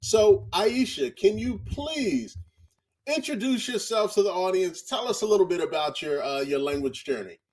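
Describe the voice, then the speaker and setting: Upbeat male interviewer, slightly high. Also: expressive, moderate pace, a little mellow. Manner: upbeat, encouraging, repeats praise. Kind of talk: interview questions.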